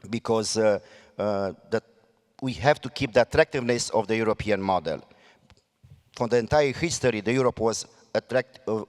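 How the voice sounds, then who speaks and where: A man with a Romanian accent, a mayor speaking on a panel, earnest and halting with many fillers and restarts. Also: mid-range voice, big-room sound. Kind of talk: panel debate remarks.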